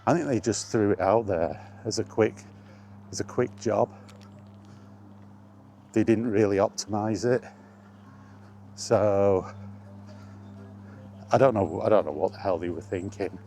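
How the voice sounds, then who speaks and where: A man's voice: fairly low pitch, measured pace, long pauses, warm tone, slight background noise. A man talking in a casual, rambling monologue, reminiscing and thinking aloud as he goes. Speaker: host of a gaming chat vlog.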